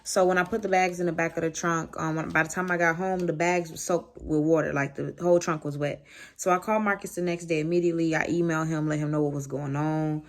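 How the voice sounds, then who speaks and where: Casting: American woman vlogger, low voice, loose slangy storytime delivery with animated asides. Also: fast talker. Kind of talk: casual storytime chat to camera.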